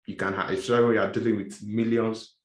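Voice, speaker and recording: A man with a fairly low voice teaching a class, walking through each idea slowly and restating it in different words. The sound is roomy and echoey. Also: flat delivery. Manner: explanatory, repeats and rephrases points. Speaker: instructor. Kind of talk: classroom lecture.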